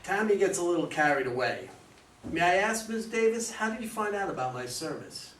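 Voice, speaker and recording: Reassuring male professional played by a man, stage reading, fairly high voice, distant mic. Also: very animated, brisk, a bit bright. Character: professional male consultant with air of authority, reassuring. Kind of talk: amateur staged play reading. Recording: echoey room, distant mic, faint noise.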